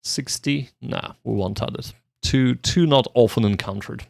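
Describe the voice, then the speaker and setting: A man's voice: mid-range voice, unhurried, vocal fry, no echo. A man doing casual live study-stream commentary, thinking aloud and translating hesitantly. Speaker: streamer, language learner.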